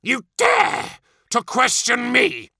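An imperious superhuman warlord, vain and full of wrath. The voice sits high and swings widely, with a sharp, theatrical snarl suited to a dramatic audiobook. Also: male voice, big pitch swings, slow, creaky, slightly rough, no room sound, clean studio sound, exaggerated voice. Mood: screaming rage. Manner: theatrical, spitting, snarling outbursts.

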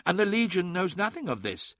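A man's voice: high voice, very animated, fairly quick, a bit bright, dry recording, clean studio sound, pitched above the performer's own voice. A man voicing an anguished male sorcerer who pleads urgently and fiercely defends his home. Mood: anxious. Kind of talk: audiobook character voice.

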